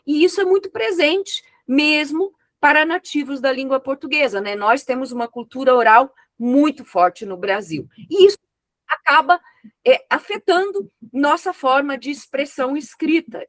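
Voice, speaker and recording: A woman with a fairly high voice, speaking a second language after growing up with an unwritten oral mother tongue, gives an examiner's frank and thoughtful critique. She often stops on short filler sounds while she works out her next point. The sound is dry and close, as on a video call. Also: animated, moderate pace, dry recording. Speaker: examiner on a master's thesis committee. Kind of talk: spoken academic feedback to a student.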